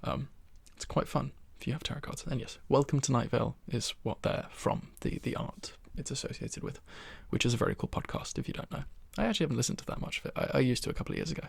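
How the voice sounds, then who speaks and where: A man of twenty-one chatting in a soft-spoken ASMR style in his bedroom, rambling and self-deprecating with soft laughs, close to the microphone. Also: mid-range voice, fast, gravelly, few pauses, breathy edge, no room sound. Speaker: ASMR creator.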